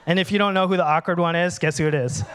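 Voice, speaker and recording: A man's voice: high voice, fast, background noise. A man, preaching a candid, humorous sermon as pastor. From Minnesota, USA. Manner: animated, candid, humorous, acts out dialogues.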